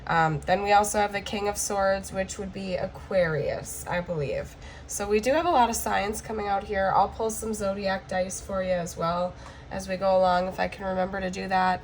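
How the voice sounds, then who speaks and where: A woman recovering from the flu, with a hoarse, cracking voice, giving a chatty tarot card reading to camera and checking in often with "okay?". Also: mid-range voice, steady tone, normal speed, a little hiss or hum.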